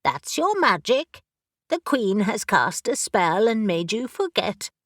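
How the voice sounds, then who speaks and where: A woman's voice: mid-range voice, big pitch swings, slow pace, fairly bright tone, dry recording, clean studio sound, heavily acted. A woman voicing an impatient talking raven that scolds and commands, in a storybook reading.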